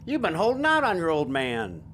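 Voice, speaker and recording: An actor playing a gentle, self-doubting married father in an audio drama, with a hesitant delivery. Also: high-pitched, wildly swooping pitch, moderate pace, exaggerated voice. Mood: playful, fatherly. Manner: hesitant, soft-hearted. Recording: faint background noise.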